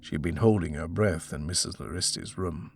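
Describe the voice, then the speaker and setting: Guy narrating a horror audiobook, lowish creaky voice, bit of background noise. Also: fairly quick, darkish tone. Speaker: audiobook reader. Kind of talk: scripted horror story narration.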